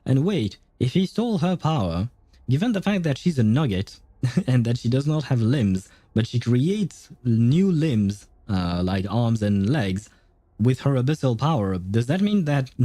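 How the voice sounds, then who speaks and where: A man's voice: medium pitch, very animated, unhurried, no room sound. A man livestreaming a video game with casual, chatty commentary, thinking aloud as he plays. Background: soft game music.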